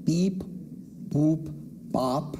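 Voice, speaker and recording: A man's voice: on the high side, very slow, warm tone, a little hiss or hum. An older man hosting a read-aloud and voicing playful sound effects. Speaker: host doing sound effects. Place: indoors, wood-furnished room, seated.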